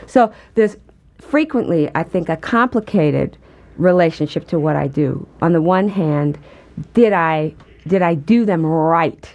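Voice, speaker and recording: A woman guest in an interview, talking thoughtfully and in a measured way about her work. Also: low voice, expressive, darkish tone, highs cut off. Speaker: guest, performer. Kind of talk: conversational interview.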